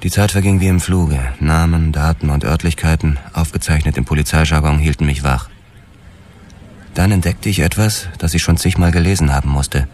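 A man's voice: very deep voice, fairly even intonation, moderate pace, darkish tone. An actor playing a weary, obsessed police detective who narrates his own story tersely in a noir radio drama. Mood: brooding. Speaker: first-person narrator.

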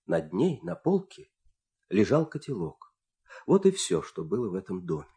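Very expressive male fairy-tale storyteller. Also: lowish voice. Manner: expressive, suspenseful, with deliberate pauses. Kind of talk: dramatic scripted fairy-tale narration.

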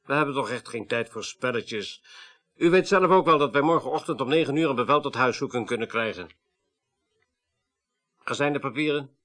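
An actor playing a firm police detective in a radio play, formal, measured and slyly insistent. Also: male voice, mid-range voice, a bit bright, natural voice. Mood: stern. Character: firm, politely pressing male police detective. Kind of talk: 1980s Dutch radio play acting.

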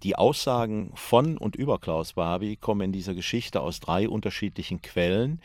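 Guy with a low-ish voice explaining stuff methodically like a documentary maker, dry studio sound. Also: moderate pace, no echo. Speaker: documentary author explaining sources. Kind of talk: explanatory spoken commentary.

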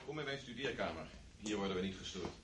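An actor playing an easygoing nobleman friend, speaking calmly and offhandedly. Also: on the low side, fast talker, lightly acted. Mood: relaxed. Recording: roomy, distant, noisy old radio recording.

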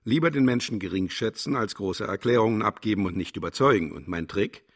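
A man's voice: fairly low pitch, lively intonation, fairly quick. A man playing a vain, contemptuous philosopher in a radio play, declaiming and lecturing grandly. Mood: grandiose. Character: pompous, cynical male philosopher, vain and contemptuous. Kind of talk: German radio play acting.

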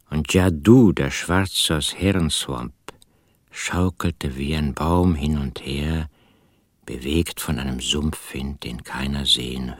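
An actor playing a brooding rural husband who narrates his story slowly and deliberately in a husky voice for a radio drama. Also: dry recording, clean studio sound. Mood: ominous. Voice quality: husky, very deep. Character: brooding rural husband proudly owning a mysterious jar.

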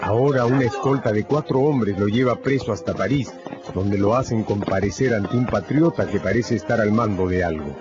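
A man delivering dramatic scripted narration for a radio novel, solemn and emphatic, with deliberate phrasing. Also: fairly low pitch. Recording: dull lo-fi radio production, loud music.

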